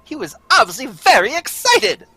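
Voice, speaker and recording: An earnest Victorian gentleman doctor, acted out in a live reading, recounts his adventure like an old-time radio storyteller. The pitch leaps about with excitement. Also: normal speed, husky, no room sound, faint music behind, exaggerated voice. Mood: earnest, excited.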